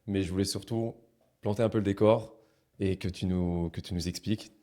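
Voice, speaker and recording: A man's voice: sits low, fairly even intonation, normal speed, dark tone. A man hosting a podcast interview in casual chat, hesitant and often restarting his phrases. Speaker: podcast host.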